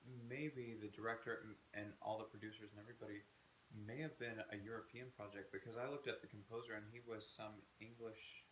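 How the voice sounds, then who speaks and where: A man's voice: on the low side, steady tone, brisk, a bit bright. A man co-hosting a casual movie review chat in an enthusiastic, jokey way. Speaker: co-host. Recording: echoey room with faint hiss.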